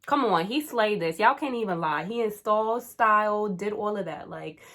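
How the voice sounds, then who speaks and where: A woman's voice: mid-range voice, normal speed, fairly bright tone. A woman in her twenties from the Caribbean and New Jersey, chatting casually and playfully to her viewers with lots of slang. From Caribbean, raised in New Jersey. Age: 20s. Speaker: YouTuber. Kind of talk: casual vlog chat.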